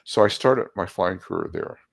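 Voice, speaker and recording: Man in his 70s, deep voice, gravelly, chatty and self-deprecating, interview guest. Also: moderate pace. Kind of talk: casual storytelling interview.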